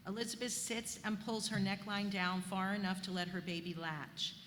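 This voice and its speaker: A woman's medium-pitched voice rings through a reverberant hall with faint noise. She is reading stage directions as scripted narration for a play reading. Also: little pitch movement, moderate pace, a bit bright.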